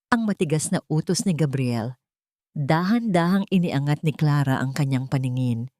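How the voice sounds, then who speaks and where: A woman with a Filipino accent reading a scripted dramatic story in a measured, solemn way. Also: low voice, lively intonation, dark tone, no room sound, studio quality. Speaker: storyteller, narrator.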